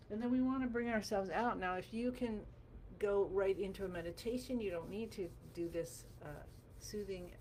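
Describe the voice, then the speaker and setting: A woman with a gravelly voice guiding a qigong class through movement and meditation in a gentle, encouraging, informal way. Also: lowish voice. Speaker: qigong teacher. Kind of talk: guided movement and meditation instruction. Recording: clearly noisy background.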